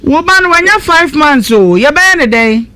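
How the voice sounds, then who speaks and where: Bright-voiced Ghanaian woman radio host. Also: high voice, expressive, normal speed. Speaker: radio talk show host. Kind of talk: questioning guests on a live radio show.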